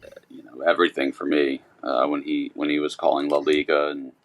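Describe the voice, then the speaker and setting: A man chats as a podcast guest. His voice is exceptionally deep and full of gravelly fry. He talks in a casual, reminiscing way. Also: level tone, fairly quick.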